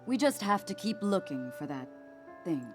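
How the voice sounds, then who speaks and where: A woman's voice: mid-range voice, very animated, normal speed, music in the background, lightly acted. A woman playing a composed, practical warrior in a video game cutscene, speaking in a firm, reassuring, matter-of-fact way. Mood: firm, consoling.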